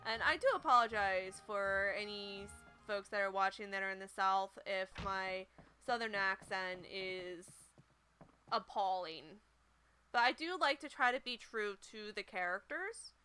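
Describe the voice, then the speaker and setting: A woman's voice: mid-range voice, a little slow, bright, forceful tone, soft background music. A woman from Pennsylvania with a Pennsylvania accent, chatting casually while playing a game. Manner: chatty, rambling, light laughs. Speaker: game streamer. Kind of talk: casual playthrough commentary.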